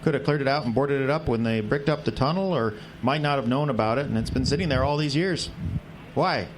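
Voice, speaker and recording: A man playing a grumpy, folksy older small-town man in an audio drama, gruffly dismissive. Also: mid-range voice, fairly quick, noisy background, lightly acted. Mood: guarded, matter-of-fact.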